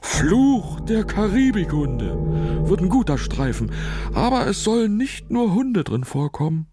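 A man voicing an excitable, friendly male dog who directs pirate films, with a panting, barking enthusiasm, in a children's audio story. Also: sits high, a little slow, loud music behind the voice, voice pushed up. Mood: eager.

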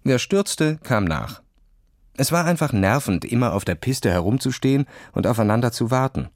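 A man's voice: medium pitch, expressive, moderate pace, no echo, studio quality. A man reading a scripted story for children as a narrator. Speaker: audiobook narrator.

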